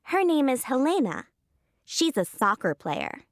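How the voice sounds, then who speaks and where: A woman's voice: sits high, big pitch swings, no echo, studio quality, heavily acted. A polite young teen girl. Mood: plain. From Canada. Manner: short, slow, clearly spaced answers. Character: 13-year-old girl tennis player, polite and brief. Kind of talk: scripted textbook dialogue.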